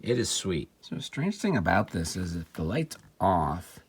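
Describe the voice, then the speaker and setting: A man giving a casual, off-the-cuff gadget demo, wry and excitable, talking to himself as he tinkers. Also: sits low, normal speed, slightly rough. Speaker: vintage electronics seller demonstrating a device. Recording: music playing behind the voice. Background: music from the player being tested.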